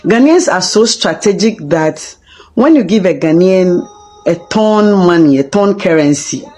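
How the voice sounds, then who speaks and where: Woman, lower register, emphatic casual opinion piece. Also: lively intonation, unhurried, a little mellow, slight background noise.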